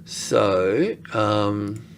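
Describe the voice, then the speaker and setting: A man giving casual, unscripted screen-share commentary as an analyst, halting and thinking aloud as he goes. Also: fairly low pitch, animated, slight background noise. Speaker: market analyst presenting.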